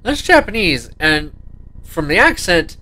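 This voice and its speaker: Lively, bright-voiced male game master. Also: fairly high pitch, measured pace. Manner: animated, chatty, mutters to himself while looking things up. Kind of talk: narrating a tabletop game.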